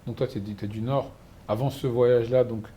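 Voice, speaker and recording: A man hosting an interview, chatty and lively, telling anecdotes and asking questions. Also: medium pitch, moderate pace, a little hiss or hum. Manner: chatty, animated storyteller. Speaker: host. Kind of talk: interview, conversational.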